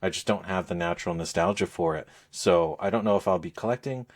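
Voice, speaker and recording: A middle-aged man hosting a casual podcast, talking in a measured, hesitant way with frequent pauses. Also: deep voice. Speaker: podcast host. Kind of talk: casual gaming podcast chat.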